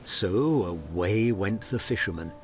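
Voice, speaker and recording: Casting: male fairy tale narrator, lowish voice, measured and unhurried, over clear background music. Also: animated. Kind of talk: storybook narration.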